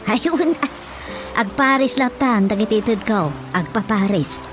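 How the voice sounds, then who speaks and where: A woman's voice: fairly high pitch, unhurried, lightly acted. An actress playing a practical, mildly fussing wife and mother with an Ilocano accent in a radio drama. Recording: prominent music under the dialogue.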